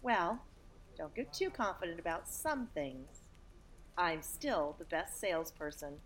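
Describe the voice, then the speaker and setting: Lively confident saleswoman acted by a woman. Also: fairly low pitch, a little slow. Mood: teasing. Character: confident competitive saleswoman in a comic farce. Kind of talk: fast-paced 1940s radio comedy acting.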